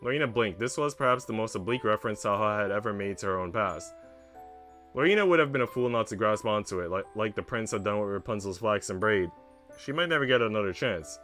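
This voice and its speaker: A man's voice: on the low side, lively intonation, fast, a bit bright, soft background music. A man reading aloud as the narrator of a period romance visual novel. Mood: narrating. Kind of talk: read-aloud story narration.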